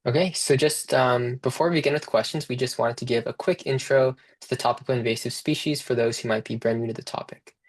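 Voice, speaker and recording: A man's voice: medium pitch, steady tone, fast talker, hardly any pauses. A young man moderating an online panel as a student research director, reading a prepared introduction in a friendly way.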